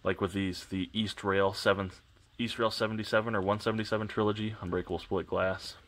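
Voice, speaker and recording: A man's voice: lowish voice, level tone, brisk, fairly bright tone. A congested, sniffling man giving a casual, rambling walkthrough of his shelves at home, talking offhand and correcting himself, recorded on a camera on a tripod. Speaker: collector vlogger.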